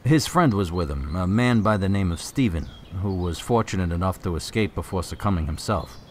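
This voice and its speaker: Actor as a low-voiced, methodical policeman. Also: lively intonation, fairly quick, slight background noise. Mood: matter-of-fact. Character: plain-spoken policeman, uneasy but methodical, acting on a hunch. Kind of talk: audio drama dialogue.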